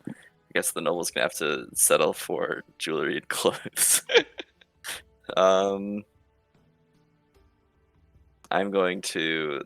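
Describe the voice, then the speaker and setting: Very deep-voiced man, gravelly, thinking aloud. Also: slow, long pauses, fairly bright tone, dry recording, soft background music. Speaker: tabletop roleplaying player. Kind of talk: casual game chat.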